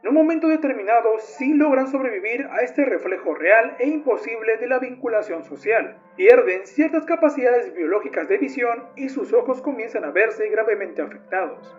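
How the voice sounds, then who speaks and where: A man narrates from a script in a notably high-pitched voice. The recording is dull and lo-fi, with music playing behind the words. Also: animated, moderate pace, few pauses.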